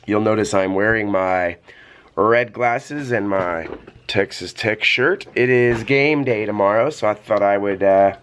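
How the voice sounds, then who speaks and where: A man with a slightly hoarse, under-the-weather voice, talking casually in a vlog as a teacher sharing tips in an easygoing, explanatory way. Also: fairly low pitch, unhurried, a bit bright, a little hiss or hum. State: a little congested and hoarse from coughing. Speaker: teacher and vlogger.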